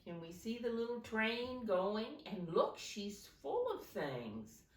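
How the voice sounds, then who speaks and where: A woman reading a picture book aloud to young children like a teacher, in simple deliberate phrases, pausing to point things out. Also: medium pitch, very animated. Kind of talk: animated children's storybook read-aloud. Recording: roomy echo, distant microphone.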